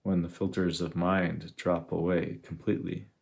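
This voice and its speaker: A man leading a guided meditation, speaking in an unhurried, reflective way with long pauses between phrases. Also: sits low, flat delivery, gravelly, darkish tone. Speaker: meditation guide, spiritual teacher. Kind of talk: guided meditation, contemplative teaching.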